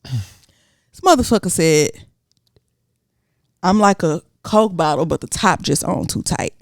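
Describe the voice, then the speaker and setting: A woman with a Southern US accent co-hosting a podcast, talking in an animated, slangy, cursing way. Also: fairly low pitch, takes it slowly, no room sound, studio quality. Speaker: podcast co-host. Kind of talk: casual chat.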